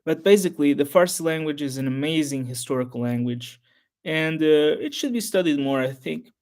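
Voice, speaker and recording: Halting man, Portuguese native speaker. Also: on the high side, animated, darkish tone. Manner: halting, rambling, frequent restarts. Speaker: history YouTuber. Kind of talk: unscripted solo talk to camera.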